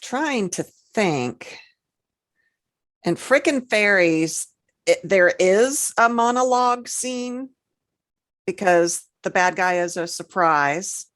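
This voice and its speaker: A woman co-hosting a casual podcast discussion, talking haltingly as she thinks aloud. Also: mid-range voice, lively intonation, a bit bright. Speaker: podcast co-host.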